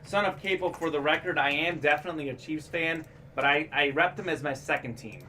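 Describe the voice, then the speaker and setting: Bright-voiced man chatting sports. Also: fairly high pitch, far from the mic, slight background noise, rough recording. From Bulgaria. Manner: chatty, quick to add sports facts. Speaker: co-host of sports memorabilia stream. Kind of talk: casual chat.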